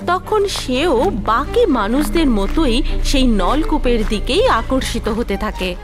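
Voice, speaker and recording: A woman's voice: high voice, expressive, slow pace. A woman narrating a cartoon story in a sing-song storytelling way. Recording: music underneath.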